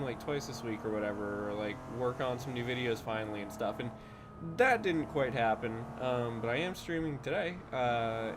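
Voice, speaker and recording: Male streamer, just woke up, a little nasal, loose chatty rambling over steady background noise. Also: mid-range voice. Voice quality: slightly nasal. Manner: chatty, many fillers and restarts. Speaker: game streamer. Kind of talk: casual rambling stream chat.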